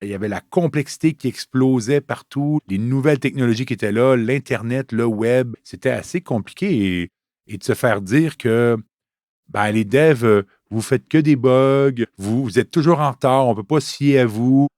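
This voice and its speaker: A man's voice: medium pitch, moderate pace, a little mellow, no room sound, studio quality. A man in his fifties with a Canadian French accent, a Scrum trainer telling his story casually with fillers in an interview.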